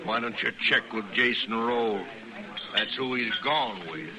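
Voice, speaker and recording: A man playing a hot-headed, domineering frontier father in an old radio western, gruff and demanding. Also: medium pitch, a little slow, a crowd in the background, lightly acted. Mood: hostile. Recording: old radio recording, slight noise.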